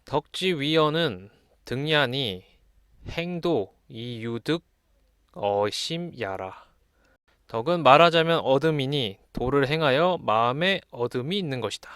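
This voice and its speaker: A man's voice: medium pitch, lively intonation, measured pace, fairly bright tone, clean tone, no room sound. Man giving a dry-sounding explanatory lesson. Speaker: lecturer. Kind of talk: explanatory lesson, reading classical texts aloud and commenting.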